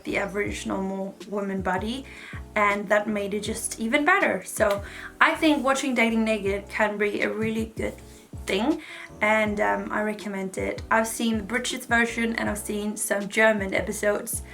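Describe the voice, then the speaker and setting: A woman's voice: medium pitch, pushed and bright. A woman, giving frank, chatty advice to camera with lots of "like" fillers. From Denmark. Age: 20s. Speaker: vlogger. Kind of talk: casual advice talk to camera.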